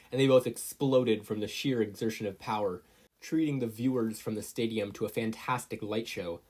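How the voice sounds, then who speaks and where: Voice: medium pitch, darkish tone. A narrator reading a scripted fan fiction story aloud. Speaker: narrator, podfic reader.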